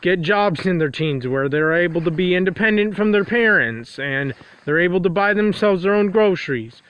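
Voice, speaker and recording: A man's voice: sits high, expressive, moderate pace, a bit bright, clean tone, dry recording, poor audio. A man with a nasal, congested voice, talking outdoors in the cold in a rambling vlog monologue that keeps circling back to restate itself. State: congested from a runny nose. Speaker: vlogger.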